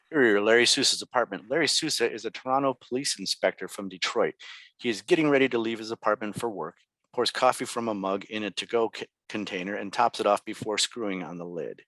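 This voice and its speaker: A man's voice: lowish voice, fairly quick, pushed and bright, dry recording. A man reading scene directions as scripted narration at a table read. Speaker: stage directions reader.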